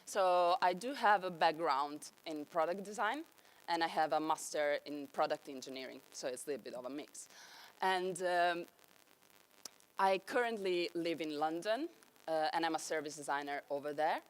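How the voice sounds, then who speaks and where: A woman's voice: sits low, moderate pace. A young woman with an Italian accent giving an enthusiastic conference talk on stage. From Italy. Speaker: conference speaker.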